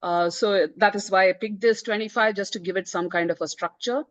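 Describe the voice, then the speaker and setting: A woman's voice: mid-range voice, brisk, no room sound. Earnest woman, dull dry sound. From India. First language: Tamil. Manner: earnest, with frequent "uh" and "you know" fillers and restarts. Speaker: book author presenting her book. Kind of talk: online book presentation talk.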